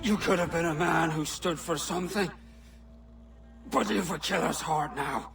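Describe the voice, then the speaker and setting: An actor playing a stern older male pirate turned pirate hunter in a video game cutscene, gruff and scolding. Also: a little high, recorded from a distance, over music. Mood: scornful. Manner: gruff, scolding, slow and grave.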